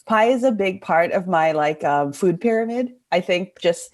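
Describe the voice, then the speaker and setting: A woman's voice: on the low side, animated, moderate pace. A young woman from Hong Kong chatting casually and effusively as an interview guest, full of enthusiasm. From Hong Kong, also raised in Indonesia.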